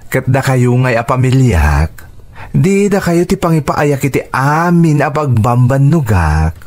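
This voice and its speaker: A man's voice: medium pitch, big pitch swings, a little slow, darkish tone. A man playing a caring, hardworking uncle in an Ilocano radio drama, speaking expressively with an Ilocano accent. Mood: easygoing, devoted. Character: caring, hardworking uncle supporting his niece's schooling.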